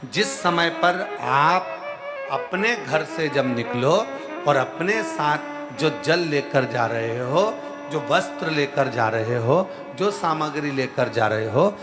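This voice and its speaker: A man preaching a devotional sermon to a large crowd, slow and emphatic, repeating key phrases. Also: on the high side, expressive, music in the background. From Central India. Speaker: preacher telling a scripture story.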